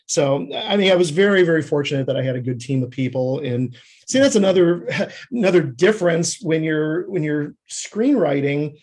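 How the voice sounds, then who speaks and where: A man's voice: on the high side, animated, normal speed, a little mellow. A man talking casually as a screenwriter and director guest on a podcast, often chuckling as he speaks.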